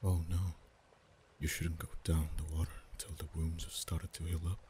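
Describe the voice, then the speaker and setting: A man voicing a protective male dragon in human form, tender yet teasing, speaking softly and often in a whisper for an ASMR roleplay. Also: rumbling low, flat delivery, moderate pace, slight background noise. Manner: soft, hushed, often whispered.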